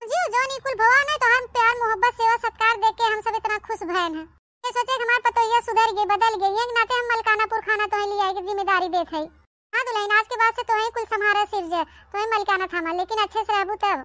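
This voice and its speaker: A woman's voice: extremely high pitch, quick pace, bright, forceful tone. A woman voicing a stern but forgiving old mother-in-law in an Awadhi comedy cartoon, commanding and scolding, with an Awadhi accent.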